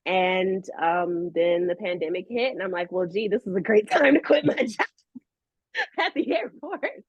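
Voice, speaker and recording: A woman's voice: mid-range voice, animated, measured pace, no room sound, dull audio. A middle-aged American woman telling stories conversationally as an interview guest, emphatic and slangy, repeating phrases for effect. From United States. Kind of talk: animated conversational interview storytelling.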